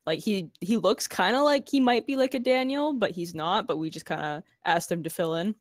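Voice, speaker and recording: A woman's voice: medium pitch, normal speed, no room sound, studio quality. A young Canadian woman co-hosting a podcast, friendly and talkative. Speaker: podcast co-host. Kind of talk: casual podcast chat.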